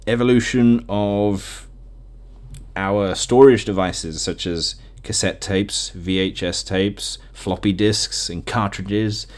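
Low-voiced British man, casually rambling. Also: a little mellow. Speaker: podcast host. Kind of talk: casual rambling solo reminiscing.

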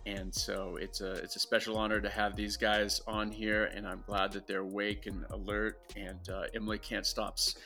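A man's voice: lowish voice, little pitch movement, normal speed, few pauses, bright, forceful tone, soft background music. A man co-hosting a running podcast in a casual interview, often repeating words as he starts his sentences. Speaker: podcast co-host.

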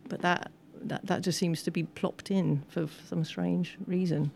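A woman's voice: on the low side, steady tone, measured pace, creaky, darkish tone, a little hiss or hum. A woman speaking as an expert guest at a film screening, talking informally and analytically on a panel. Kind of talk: informal post-screening Q&A commentary. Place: live event at a film screening.

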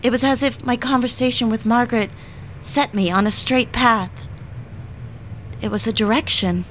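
A woman's voice: on the high side, fairly even intonation, moderate pace, a bit bright, natural voice, low-quality recording. A woman playing a troubled woman who narrates her slide into madness in a confiding, reflective way. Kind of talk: scripted first-person audio drama narration. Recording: clear background noise, vehicle sounds.

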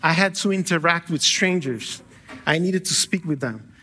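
Bright-voiced Brazilian-born man preaching. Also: fairly high pitch, expressive, moderate pace, breathy edge. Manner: conversational with light humor and frequent self-corrections. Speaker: guest pastor. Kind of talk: sermon. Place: church sanctuary with a live congregation.

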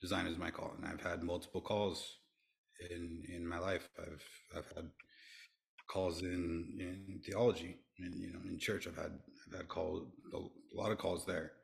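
A man's voice: low-pitched, little pitch movement, a little slow, creaky. A middle-aged man speaking earnestly as a podcast guest, often restarting and repeating himself. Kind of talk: reflective interview talk.

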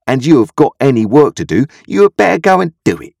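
A bad-tempered, sharp-tongued woman played by a male audiobook reader, snapping out orders and scorn. The voice is pushed high above his own, swooping and a little rough. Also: big pitch swings, fast, dry recording, studio quality, full character voice. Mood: scornful anger. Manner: snappish, bossy.

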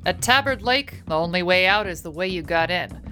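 Woman acting as brisk mystery narrator, composed, radio play delivery, slightly low pitch, music underneath. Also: lively intonation, sharp tone. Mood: brisk, welcoming. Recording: music bed behind the voice.

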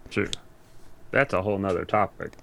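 Low-pitched man in casual conversation. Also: fairly even intonation, normal speed, gravelly, fairly bright tone. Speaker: podcast guest. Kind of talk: casual chat about anime and games.

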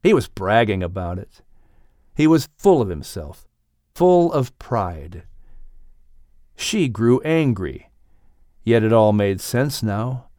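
Slow, measured male storybook narrator. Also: lowish voice, very animated, mellow, no room sound, studio quality. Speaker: audiobook narrator. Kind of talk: scripted dramatic storytelling narration.

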